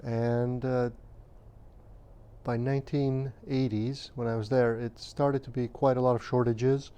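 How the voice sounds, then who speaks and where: A man in his fifties from Romania, recalling his childhood in an interview at a measured, hesitant pace with frequent pauses and fillers. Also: medium pitch, level tone, warm tone, clean tone. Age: 50s. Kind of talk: recounting childhood memories.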